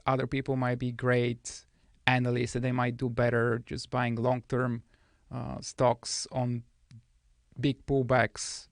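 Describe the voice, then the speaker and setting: A man in his thirties, originally from Bulgaria, speaking as a guest trader in a studio podcast interview with a soft, measured manner. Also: medium pitch, gravelly, no echo.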